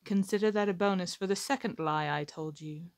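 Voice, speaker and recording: A woman's voice: lowish voice, fairly quick, gravelly. A woman playing an assertive, wry captive pirate admiral in an ASMR roleplay, with a formal, theatrical, teasing delivery. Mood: proud, composed.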